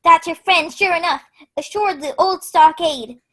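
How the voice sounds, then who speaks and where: Squeaky, half-mad castaway Gunn. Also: female voice, unhurried, sharp tone, heavily acted. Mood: excitable, wary. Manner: rambling, whooping, folksy. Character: Ben Gunn, excitable half-mad marooned old pirate castaway.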